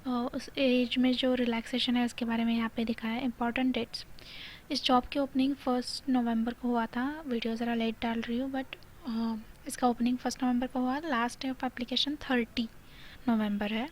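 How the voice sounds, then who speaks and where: A woman's voice: a little high, low-quality recording. An Indian woman giving an informal explainer, reading out and explaining details while mixing in English phrases. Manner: mixes Hindi with English phrases. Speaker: video host explaining a job notification. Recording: clear background noise.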